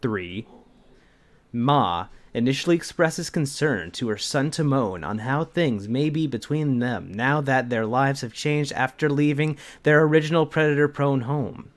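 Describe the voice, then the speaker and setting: A man's voice: medium pitch, unhurried. A man giving a casual, comedic read-aloud as host, with amused, mock-grand delivery and frequent asides. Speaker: host of a comedic dramatic fanfiction reading. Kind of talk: casual comedic reading with commentary.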